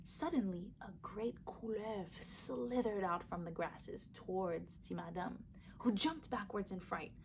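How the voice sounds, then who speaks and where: A woman reading a folktale aloud for children, lively and shifting her voice for each character. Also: medium pitch, measured pace, a little hiss or hum. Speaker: storyteller. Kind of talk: storybook read-aloud for children.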